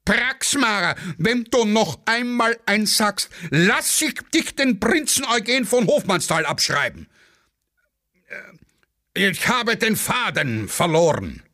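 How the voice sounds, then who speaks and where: A man playing a pompous, scolding schoolteacher who declaims deliberately in a satirical radio play. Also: high voice, big pitch swings, bright, forceful tone, voice pushed up, heavily acted. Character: pompous, scolding male schoolteacher in wartime Vienna.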